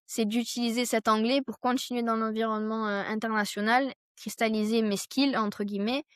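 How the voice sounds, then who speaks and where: Young woman, higher-pitched, close dry sound. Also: level tone, a little fast, clear, resonant tone, dry recording. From France. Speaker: podcast guest, entrepreneur. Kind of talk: casual conversational interview answers.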